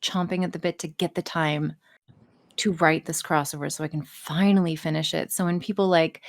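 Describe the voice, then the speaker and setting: A woman's voice: sits low, fairly even intonation, moderate pace, no room sound, studio quality. A woman chatting casually as a podcast guest about her own work, blunt and freely swearing. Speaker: podcast guest, audio writer and voice performer. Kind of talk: casual interview chat.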